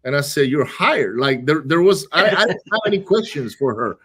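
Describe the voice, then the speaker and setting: A man from Venezuela, a business owner, talking casually in an interview, quick and animated, often restarting and repeating words. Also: a little high, breathy edge. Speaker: real estate wholesaling business owner.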